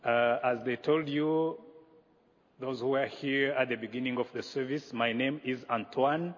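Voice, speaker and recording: A man from Rwanda preaching as a guest pastor, earnest and measured with pauses, in a church sanctuary. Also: medium pitch, steady tone. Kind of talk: sermon. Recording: echoey hall, dull lo-fi sound.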